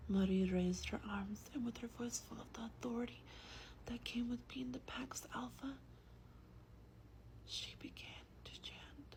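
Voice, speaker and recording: A woman with a breathy whisper reads a story aloud in a slow, hushed, gentle ASMR style, as a vampire host close to the microphone. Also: on the high side, low-quality recording. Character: gentle female vampire host reading a bedtime book. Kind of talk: soft-spoken ASMR roleplay and book reading. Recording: faint background noise.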